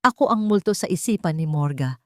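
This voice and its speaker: Woman acting coldly calm, vengeful wife. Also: lowish voice, a little fast, no echo, clean studio sound, lightly acted. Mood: calm, triumphant. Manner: calm, sweetly venomous.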